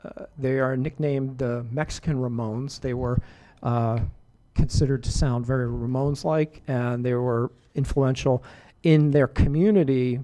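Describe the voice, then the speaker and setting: Mellow-voiced middle-aged man hosting radio. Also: medium pitch. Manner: relaxed, rambling, full of fillers and restarts. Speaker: radio show host. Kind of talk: casual radio DJ chat between songs.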